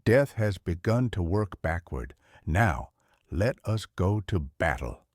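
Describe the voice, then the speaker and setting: An older man voicing a wise, gentle male lion king in a storybook, calm and solemn. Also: deep voice, slow, dry recording, studio quality, heavily acted. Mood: solemn tender.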